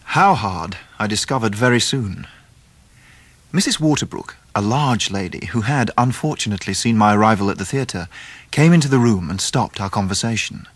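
A grown gentleman narrating his youth in a radio drama. He is measured and wry, in a lowish voice recorded very dry. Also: expressive, no room sound. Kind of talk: scripted literary narration.

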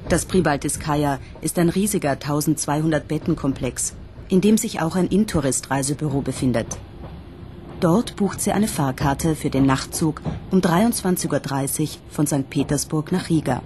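An actress playing a sober, factual documentary narrator in a radio mystery, measured and matter-of-fact. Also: female voice, low-pitched, mellow, slight background noise, natural voice.

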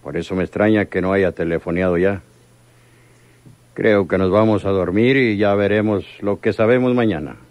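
A man's voice: deep voice, normal speed, no room sound, highs cut off, lightly acted. An actor playing a cold, authoritative male crime boss in a radio drama. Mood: cold, suspicious.

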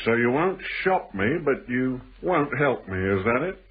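An actor playing a shrewd, insinuating stranger in a British radio thriller, with a teasing, deliberate way of probing and a husky voice. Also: male voice, medium pitch, big pitch swings. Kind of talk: British radio drama acting. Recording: muffled band-limited old radio broadcast.

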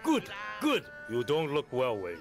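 An actor playing a calm, teacherly older male martial arts master who probes with questions. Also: mid-range voice, a little slow. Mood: probing. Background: game score music.

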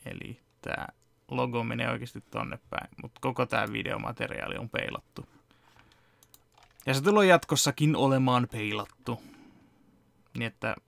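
A man's voice: mid-range voice, gravelly, no room sound. A man in his thirties casually walking through his fan-dub editing project from home, rambling and chuckling. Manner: relaxed, rambling, chuckles often. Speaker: fan dub editor and vlogger. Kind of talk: casual behind-the-scenes walkthrough. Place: home room with computer setup.

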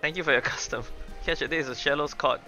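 A young man doing excitable, chatty live game commentary, exclaiming and reading dialogue aloud. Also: fairly high pitch, quick pace, faint music behind. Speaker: gaming streamer.

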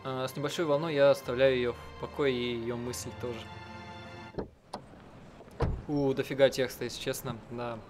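Halting male narrator over clear game music. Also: medium pitch, level tone. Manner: halting, often clearing his throat. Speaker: let's player translating and voicing a game. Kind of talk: improvised translating narration of a visual novel. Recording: loud game music behind.

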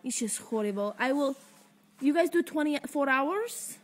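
A teenage girl fakes a panicked phone call, rambling and overdoing her distress. Her voice sits high and is picked up close by a laptop webcam in a living room. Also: lively intonation, moderate pace. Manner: fake-distressed, rambling, stifling laughs. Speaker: prank caller. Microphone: laptop webcam mic up close.

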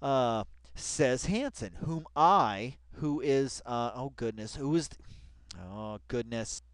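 A man reading along with an article and commenting casually, rambling and excitable, repeating phrases for emphasis and swearing freely. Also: mid-range voice, slow pace, smooth, no room sound. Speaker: video commentator.

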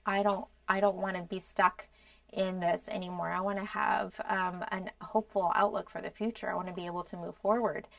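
A woman's voice: medium pitch, level tone, brisk, gravelly, fairly bright tone, no echo, far from the mic. A middle-aged American woman explaining things earnestly and a little hesitantly in a conversational podcast discussion. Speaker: podcast co-host explaining a grief program. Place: small indoor podcast studio. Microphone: podcast microphone on boom arm.

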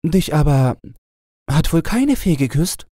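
A man's voice: on the high side, sing-song, slow pace, dark tone, no room sound, clean studio sound, voice pushed up. A man voicing a cheerful young fairy-tale princess with playful, naive, bossy chatter. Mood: cheerful, earnest.

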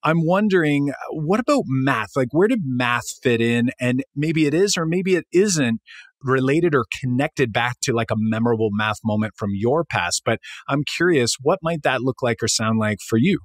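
Co-host: friendly, chatty man, middle pitch, dry close mic. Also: lively intonation, moderate pace, no room sound. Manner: enthusiastic, conversational. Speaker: podcast co-host. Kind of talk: casual interview chat.

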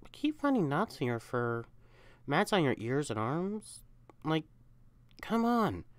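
A woman's voice: low-pitched, big pitch swings, slow, dry recording, heavily acted. A young woman voicing an affectionate, teasing, protective catboy boyfriend in an intimate, coaxing ASMR roleplay. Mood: playful, chiding. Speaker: audio roleplay performer.

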